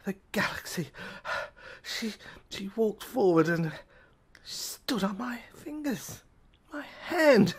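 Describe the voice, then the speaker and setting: Female narrator for an audio drama, slow-paced, first-person, halting delivery with repeated words and broken phrases. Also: mid-range voice, expressive, breathy edge.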